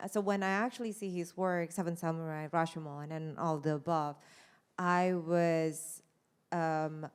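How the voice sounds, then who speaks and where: A woman's voice: lowish voice, darkish tone, smooth. A woman from Indonesia, answering at a press conference as a film director, thoughtful and searching for her words.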